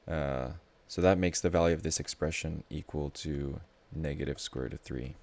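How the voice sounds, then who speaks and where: A man's voice: very deep voice, moderate pace, darkish tone, slight background noise. A man tutoring math live in a casual, informal way, thinking aloud as he explains.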